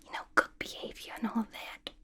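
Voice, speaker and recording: A woman's voice: brisk, fairly bright tone, close to an everyday voice. A woman playing a manic, chatty, mischievous young female villain in an asylum, in a playful, slangy ASMR roleplay whisper. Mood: gossipy, conspiratorial. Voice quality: breathy whisper. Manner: playful, slangy, conspiratorial gossiping.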